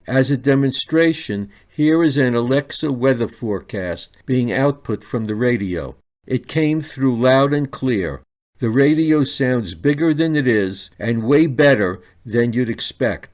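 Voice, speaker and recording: A man reading a scripted product review in a deliberate, plainly enunciated way. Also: medium pitch, a little mellow. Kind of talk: scripted narration.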